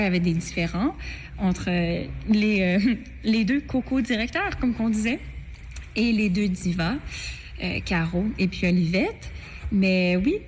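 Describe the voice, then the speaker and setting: Enthusiastic Québécois singer, mid-pitched woman. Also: slight background noise. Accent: Québécois French. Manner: friendly, enthusiastic, fluent. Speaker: opera singer. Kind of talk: casual interview.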